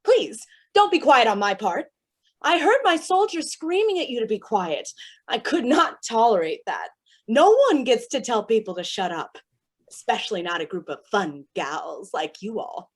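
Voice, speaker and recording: A woman's voice: on the high side, very animated, moderate pace. A woman playing a chatty, theatrically polite and menacing villainess in a staged play reading. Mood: manic chatter. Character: manic, chatty villainess with smeared mime makeup.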